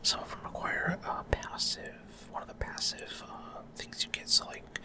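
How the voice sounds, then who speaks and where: Whispered, hesitant ASMR gameplay commentary. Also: unhurried. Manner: hesitant, unscripted explaining. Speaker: video game streamer.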